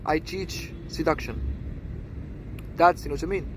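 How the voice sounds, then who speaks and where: A Moroccan man in his early thirties speaks in a high-pitched voice. He rambles vlog-style in short, halting phrases, checking again and again that he is understood. Clear street-like noise runs behind him. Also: low-quality recording. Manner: halting short phrases with constant repeated tag questions. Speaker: seduction coach introducing own channel.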